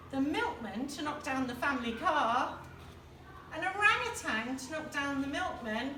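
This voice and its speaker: Woman, children's storyteller, slow expressive delivery, echoey distant recording, noticeable background noise. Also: high voice. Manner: expressive, deliberate, dramatic emphasis. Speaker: primary school teacher reading aloud. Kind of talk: children's picture book storytelling.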